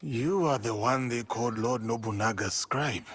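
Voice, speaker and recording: A man playing a solemn, gentle samurai warrior in a feudal Japan game, measured and sombre. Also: medium pitch, breathy edge, no echo. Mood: curious, reserved.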